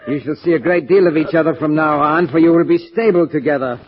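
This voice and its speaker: An actor playing a sadistic, megalomaniac scientist villain in a pompous, theatrical 1940s radio thriller style. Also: male voice, on the high side, quick pace, a crowd in the background, lightly acted. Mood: cruel, commanding. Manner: pompous, theatrical, relishing menace. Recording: dull lo-fi old-time radio recording.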